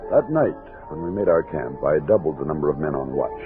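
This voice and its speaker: An actor playing a steady, commanding expedition captain who narrates and speaks in an old-time radio western. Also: male voice, very deep voice, a little fast. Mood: grave, reflective. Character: steady male expedition captain, commanding, thoughtful frontier leader. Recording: narrow-band vintage radio recording with music.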